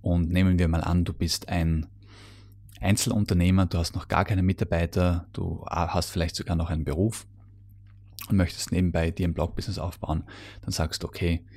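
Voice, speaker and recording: Male online-marketing coach, deep voice, slightly raspy, addresses the viewer directly, stumbles and corrects himself. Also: flat delivery, normal speed, darkish tone. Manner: talks straight to the viewer, with frequent fillers and self-corrections; mixes English business terms into German speech. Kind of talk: solo teaching monologue on content marketing.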